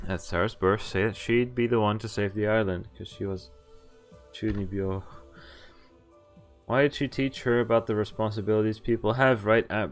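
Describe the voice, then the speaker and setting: Guy with a lowish voice reading a game out loud on stream, swears a lot, faint music behind. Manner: swears freely. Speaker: streamer playing a visual novel. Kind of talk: reading game text aloud with casual commentary.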